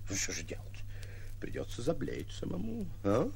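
An actor playing a pompous, excitable, boastful would-be lion hunter in a bombastic, theatrical 1940s radio comedy style, often muttering to himself. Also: male voice, medium pitch, moderate pace, vocal fry, a little hiss or hum. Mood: tense, scheming. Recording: old 1940s radio recording.

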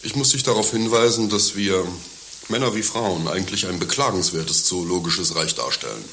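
Grumpy sardonic misanthrope, radio play, forceful voice, pompous, bit of hiss. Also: male voice, sits low, moderate pace. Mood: mocking disgust. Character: morose, cynical pessimist man, mocking and disgusted by humanity. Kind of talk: German radio play acting.

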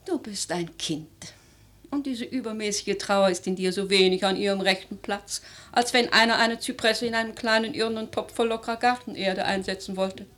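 Radio drama grandmother, played by a woman: aristocratic old lady, imperious yet life-loving, middle-pitched, stagey lyrical phrasing. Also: lightly acted. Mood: gently admonishing. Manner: theatrical, measured, lyrical, with emphatic swells and hushed phrases. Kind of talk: literary German radio drama acting.

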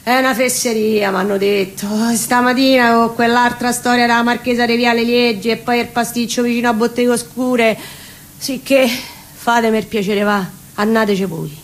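A woman's voice: a little high, steady tone, normal speed, natural voice. A woman voicing a brusque male police chief who gives orders in an offhand, colloquial way. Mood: offhand, dismissive.

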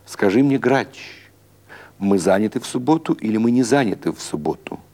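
Man playing a low-voiced, courteous gangster boss. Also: expressive, darkish tone, lightly acted. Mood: commanding, calm. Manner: unhurried, courteous, few words. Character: terse, polite, commanding Odessa gangster boss. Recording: music under the voice.

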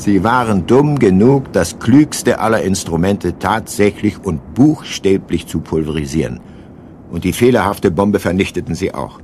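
A man's voice: low-pitched, expressive, moderate pace, darkish tone, a little hiss or hum. A man narrating a scripted radio play as its storyteller.

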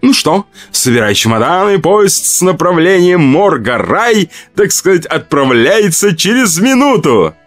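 A man puts on a jaunty, mocking spirit guide with a lively, swooping delivery. The character is chatty and sardonic, heard over music in a horror audiobook. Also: high-pitched, a little slow, few pauses, pitched above the performer's own voice.

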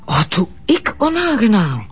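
A woman's voice: medium pitch, huge pitch swings, measured pace, slightly rough, exaggerated voice. An exasperated Flemish woman in a TV drama, fed up with her partner. She speaks with bitter, pointed sarcasm in slightly dull sound. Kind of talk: naturalistic TV drama acting.

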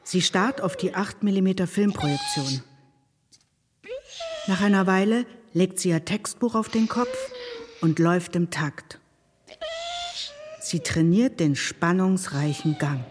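An actress playing an ironic, restless storyteller in a deliberate, declamatory theatrical monologue. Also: female voice, on the low side, far from the mic, a little hiss or hum, natural voice. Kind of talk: theatrical scripted monologue with narration. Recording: music behind, voice off the mic. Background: music.

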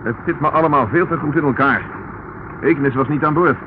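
An actor playing a composed, shrewd police inspector in a Dutch radio play, brisk and authoritative with dry irony. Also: male voice, fairly high pitch, natural voice. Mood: alert, commanding. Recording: muffled, noisy old radio play recording.

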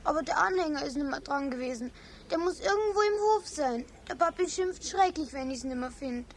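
A woman's voice: sits high, lively intonation, a little fast, slight background noise. A woman playing an earnest, insistent little girl with a Bavarian accent in a children's radio play, plaintive and direct. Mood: worried.

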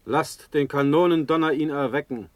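An actor playing a commanding military leader in a classical radio play, loudly declaiming. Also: male voice, on the high side, normal speed, background noise, lightly acted. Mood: triumphant. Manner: loud, declamatory.

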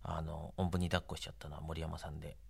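A man's voice: extremely low pitch, little pitch movement, rapid-fire, vocal fry, a little mellow, slightly rough. A man speaking as an interview guest, conversational, in short phrases broken up by fillers. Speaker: interview guest, anime production staff.

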